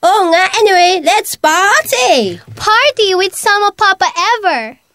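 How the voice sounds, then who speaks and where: Woman as a very high-pitched campy teasing friend. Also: big pitch swings, slow pace, pushed and bright, no echo, clean studio sound, full character voice. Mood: teasing, gleeful. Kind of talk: amateur radio drama acting.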